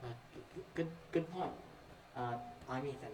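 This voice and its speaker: A man's voice: mid-range voice, moderate pace, natural voice. A young man playing an awkward, nervous youth in a student stage play, with a hesitant, stammering delivery. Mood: uncertain. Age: young adult. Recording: echoey, noisy room from far off.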